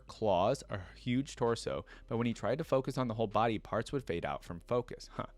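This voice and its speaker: Low-voiced man reading and reacting. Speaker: reaction video host. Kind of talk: reading a fantasy story aloud with casual reaction asides.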